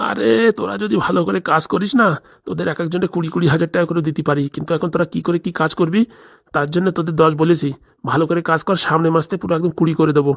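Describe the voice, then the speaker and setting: A woman's voice: lowish voice, fairly even intonation, racing, gravelly. A woman voicing a crooked, bossy male village boss in a comedy cartoon, scheming aloud with a rural Bengali accent.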